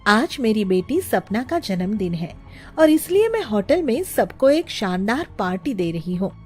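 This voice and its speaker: A woman's voice: medium pitch, big pitch swings, unhurried, a little mellow, faint music behind. A woman voicing a middle-aged hotel owner in a children's cartoon story, polite and formal as she receives guests.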